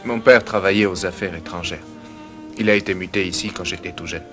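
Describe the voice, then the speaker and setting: A reserved, courteous tenant in a film thriller. His voice is low and soft-spoken, and he keeps his sentences short. Music plays clearly behind him. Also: fairly even intonation, brisk. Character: quiet, polite, reserved Japanese male tenant living in Sydney. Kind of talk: French-dubbed 1990s film thriller dialogue.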